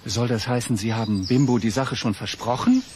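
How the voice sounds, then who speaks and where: Male actor as stern doctor dad, medium pitch, curt, irritated, radio play, slight background noise. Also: steady tone, a little fast, a little mellow, lightly acted. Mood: firm, angry. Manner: firm, curt. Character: stern, annoyed father, a practice doctor.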